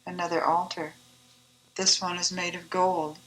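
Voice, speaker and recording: A woman reciting her own devotional poem in a measured, deliberate, reverent manner. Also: on the low side, flat delivery, fairly bright tone, slight background noise, low-quality recording. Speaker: author reading own poem.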